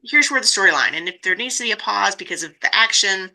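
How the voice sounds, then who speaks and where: A woman's voice: medium pitch, lively intonation, fast talker, sharp tone, low-quality recording. A woman hosting a podcast, interviewing in a conversational style. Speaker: podcast host.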